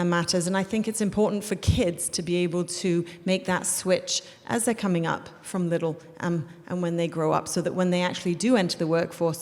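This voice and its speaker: A woman's voice: fairly low pitch, a little mellow. A woman from Hong Kong speaking as a school principal on a panel, earnest and full of fillers. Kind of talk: panel discussion on stage. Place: event hall before an audience. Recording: reverberant event hall.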